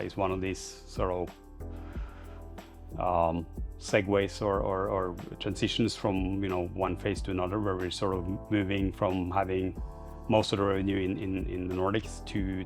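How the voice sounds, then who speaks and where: A man's voice: low voice, flat delivery, moderate pace, faint music behind. A man giving informal interview answers as a startup founder, in a hesitant, loosely phrased, rambling way. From Norway.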